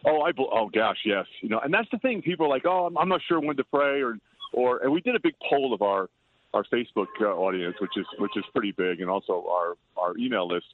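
An older man chatting as an interview guest, loud-voiced, rambling and self-deprecating. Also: fairly low pitch, lively intonation, fast talker. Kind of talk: casual interview chat. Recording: tinny dry phone line.

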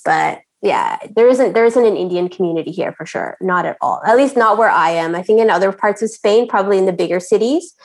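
A woman from Canada talking as a podcast guest, with a middle-pitched voice. She chats loosely, laughs easily and often restarts her phrases. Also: gravelly. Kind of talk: casual chat.

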